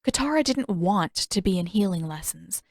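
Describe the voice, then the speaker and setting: A woman's voice: medium pitch, lively intonation, moderate pace, no echo, clean studio sound. A woman reading a fiction story aloud as a scripted audiobook narration.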